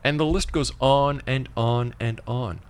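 A man's voice: mid-range voice, measured pace, smooth. A man hosting an interview show, speaking conversationally and emphatically, repeating phrases to drive his points home. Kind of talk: conversational interviewing and opinionated banter.

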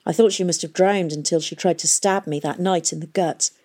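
A woman's voice: on the low side, fairly even intonation, brisk, mellow, lightly acted. A woman reading the part of a guilt-ridden middle-aged father who confesses to murder, pleading and miserable. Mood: anguished.

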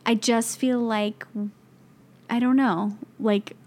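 A woman's voice: on the high side, steady tone, slow, darkish tone. A woman in her thirties co-hosting a podcast, chatting casually and agreeing eagerly. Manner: chatty, agreeing, upbeat with many likes. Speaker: podcast co-host. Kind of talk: casual chat.

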